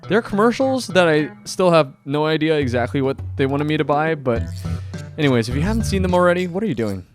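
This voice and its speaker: A man's voice: fairly high pitch, animated, fast talker. A man hosting a scripted commentary video with enthusiastic delivery and dramatic emphasis. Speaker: video host and anime fan. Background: background music.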